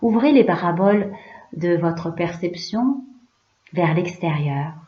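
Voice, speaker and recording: A woman yoga teacher leading a guided relaxation in a hushed, soothing, unhurried way, leaving pauses between short phrases. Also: on the low side, expressive. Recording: roomy, slightly dull recording.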